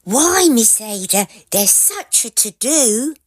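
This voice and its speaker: A woman's voice: on the high side, sing-song, slow pace, breathy edge, no echo, exaggerated voice. A woman playing a chatty, deferential Victorian lady's maid in a farcical audio drama, hesitant and roundabout in her explanations. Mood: deferential, flustered. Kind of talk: audio drama farce acting.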